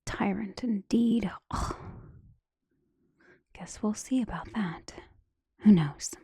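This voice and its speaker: A woman playing a devoted, outspoken servant of a noble household in an ASMR roleplay, speaking gently and deferentially in formal phrasing, often slipping into a whisper. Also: mid-range voice, slow pace, breathy edge, no room sound, clean studio sound, full character voice. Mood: muttering, determined. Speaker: voice actor in an ASMR roleplay audio drama. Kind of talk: soft-spoken ASMR roleplay acting, often dropping to a whisper.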